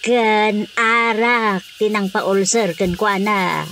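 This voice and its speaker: A woman's voice: medium pitch, steady tone, slow pace, fairly bright tone. A woman playing an outspoken, nagging but concerned village woman in radio drama comedy, with a northern Philippine accent. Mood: gossipy, concerned. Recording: faint cricket-like background noise.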